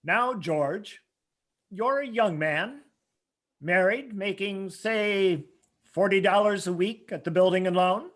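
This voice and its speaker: A man voices a scheming old money man from a vintage radio drama. He speaks slowly in a high-pitched voice. His manner is imperious and condescending, used to getting his way. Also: a bit bright. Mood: smooth condescension. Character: domineering, scheming rich old businessman, radio villain. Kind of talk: old-time radio play acting.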